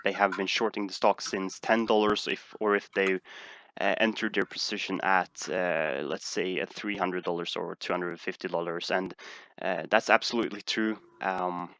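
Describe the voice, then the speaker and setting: A man's voice: sits low, steady tone, normal speed, sharp tone. A man from Sweden with a Swedish accent, answering viewer questions in a casual livestream and hesitating often with fillers and restarts. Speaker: stock market streamer.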